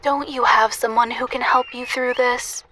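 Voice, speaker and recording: A woman's voice: fairly high pitch, fairly even intonation, moderate pace, sharp tone, a little hiss or hum, rough recording. A woman playing a flirtatious, sultry seductress in an audio drama, teasing and full of cooing sympathy. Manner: sympathetic, teasing, breathy whispers at times.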